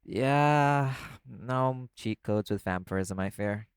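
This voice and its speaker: A man playing a laid-back, caring vampire in an audio roleplay, talking the listener toward sleep in a casual, gently instructive way. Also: on the low side, slow, smooth, dry recording, clean studio sound. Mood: wry, explaining. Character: laid-back male vampire companion, teasing yet caring.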